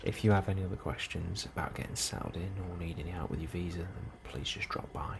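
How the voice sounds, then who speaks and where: A man in a soft, hushed ASMR role-play, speaking calmly and unhurriedly as a helpful colony immigration officer, close to the microphone. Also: sits low. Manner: hushed, unhurried, sometimes dropping into whisper. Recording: clearly audible background ambience noise.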